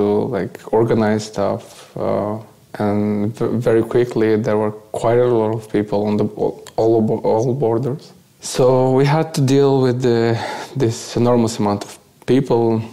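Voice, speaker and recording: Low, gravelly man with Bulgarian accent. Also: dark tone. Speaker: interview guest, volunteer. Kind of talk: conversational first-person account.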